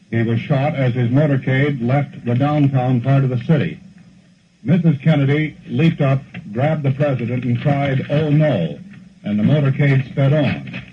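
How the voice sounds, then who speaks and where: Vintage lo-fi male breaking-news anchor. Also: medium pitch. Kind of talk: 1960s live breaking news reporting. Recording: dull, lightly noisy 1960s off-air recording.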